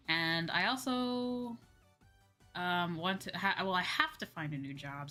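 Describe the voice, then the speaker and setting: Low-voiced woman streamer chatting over music. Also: sharp tone. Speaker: livestream host. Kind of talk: casual livestream chat. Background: background music.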